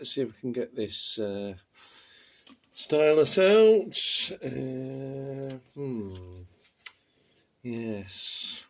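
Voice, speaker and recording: A man giving a casual, unscripted how-to for a video, hesitant and muttering to himself as he fiddles with small parts. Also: medium pitch. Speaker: amateur video maker demonstrating a stylus replacement.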